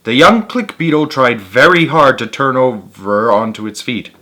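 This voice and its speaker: A man narrating as a storyteller, reading aloud for children in an animated style. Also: mid-range voice, normal speed. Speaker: storyteller, channel host.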